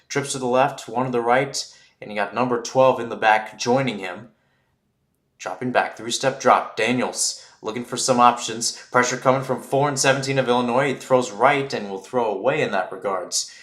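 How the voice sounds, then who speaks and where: A man calls American football live in a radio play-by-play style. His pitch sits in an ordinary male range, and the sound is noticeably roomy and echoey. He keeps it conversational, correcting himself as he goes. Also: a bit bright. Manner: conversational, self-correcting asides. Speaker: play-by-play sports commentator.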